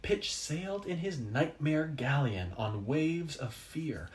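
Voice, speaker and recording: A man reading a children's story aloud expressively, with dramatic pauses for effect. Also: fairly high pitch, expressive, slight background noise. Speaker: storybook reader.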